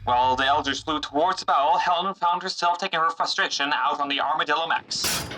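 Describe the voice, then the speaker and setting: A man narrates a sci-fi radio serial with a fairly high voice. His scripted storytelling plays over a clear music bed. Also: fairly quick, rough recording.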